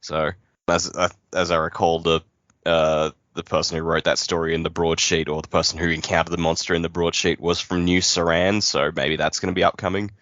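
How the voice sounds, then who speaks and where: Deep-voiced rambling man. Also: flat delivery, fairly quick, fairly bright tone, no room sound, dull audio. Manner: rambling, thinks aloud with fillers and restarts. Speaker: podcast co-host. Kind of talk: casual book club chat.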